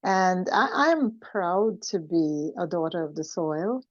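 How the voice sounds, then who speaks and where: An older woman with a Trinidadian accent, telling her life story conversationally as an interview guest, in an expressive, unhurried way. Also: fairly low pitch, dry recording, dull audio.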